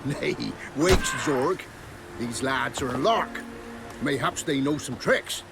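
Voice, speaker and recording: A gravelly, drawling, jeering bandit. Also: male voice, mid-range voice, slow, over music. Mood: amused. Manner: coarse rustic slang. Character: crude, jeering, amused bandit. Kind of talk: video game voice acting. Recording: clear background noise, outdoor ambience.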